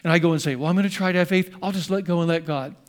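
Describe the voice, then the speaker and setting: A man's voice: high voice, fairly even intonation, racing. A man preaching a sermon as pastor, animatedly voicing the apostle in first person with rising emphasis.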